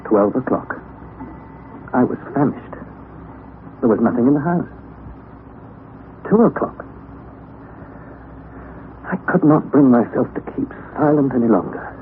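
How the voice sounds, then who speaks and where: A young actor playing a wry, anxious nephew who narrates the story, in a 1960s radio drama on a tinny, lo-fi broadcast recording. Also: male voice, mid-range voice, a little slow, pauses often, slight background noise, lightly acted. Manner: earnest storytelling, rising to excited outbursts.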